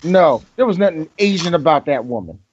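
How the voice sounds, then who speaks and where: A man co-hosting a podcast in casual movie-review banter, animated and profane, often laughing and dropping into mock voices. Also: fairly high pitch, brisk, muffled recording, slight background noise. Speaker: podcast co-host.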